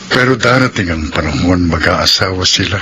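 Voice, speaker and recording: A man with a low voice and a bright, sharp edge pleads earnestly in a classic radio drama. Faint hiss sits under the recording. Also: animated, normal speed.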